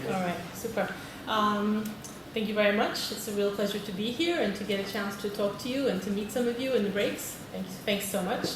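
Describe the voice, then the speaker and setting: A woman's voice: mid-range voice, fairly quick, few pauses. A woman from Britain, a teacher trainer giving a friendly conference talk and polling the audience. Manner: friendly, polls the audience, frequent "okay". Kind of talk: conference presentation. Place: large conference hall. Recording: echoey hall, distant mic, noisy.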